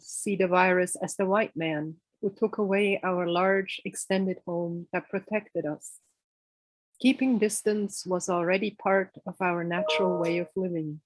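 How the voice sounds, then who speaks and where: A woman reading a report aloud in a measured way, careful with unfamiliar words, on a video call. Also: mid-range voice, dry recording, rough recording. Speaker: reader in a group reading.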